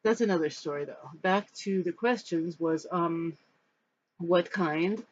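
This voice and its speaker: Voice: mid-range voice, measured pace. American in their fifties, dull noisy recording. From Los Angeles, United States. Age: 50s. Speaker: spiritual storyteller. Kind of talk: personal talk to camera, answering questions. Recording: dull, muffled sound with background noise.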